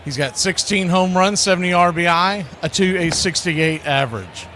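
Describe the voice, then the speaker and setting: A man's voice: sits high, normal speed, pushed and bright, slight background noise. A man announcing sports and sponsor spots in a showy, folksy radio play-by-play style. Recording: music bed under the voice. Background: music.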